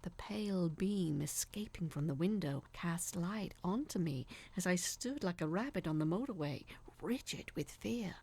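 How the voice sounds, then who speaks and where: Woman doing a creepy awkward young guy telling a horror story, raspy n crackly, confessional. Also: on the low side, lively intonation, moderate pace, hardly any pauses, darkish tone. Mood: hushed, tense. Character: crude, awkward, obsessive young male peeping Tom confessing his story. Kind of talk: scripted first-person horror story narration.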